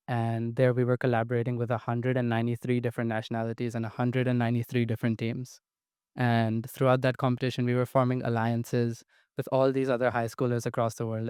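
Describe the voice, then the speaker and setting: Eager young man, very flat voice. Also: mid-range voice, brisk, clean tone, dry recording, studio quality. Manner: eager, repeats "amazing". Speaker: student panelist. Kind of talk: answering panel questions.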